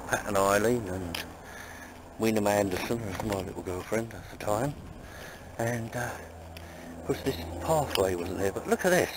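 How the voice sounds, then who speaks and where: A man around eighty walking outdoors and pointing out places from his childhood, in a halting, wandering way. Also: lowish voice. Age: about 80. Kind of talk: on-location reminiscing. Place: outdoors on a common. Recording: loud outdoor noise and passing traffic.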